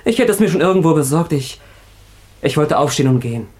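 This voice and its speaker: An actor playing an agitated escaped convict in a radio crime drama, confessing in a halting, emotional way. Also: male voice, fairly high pitch, lively intonation, darkish tone, slightly rough. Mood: tense, confessing.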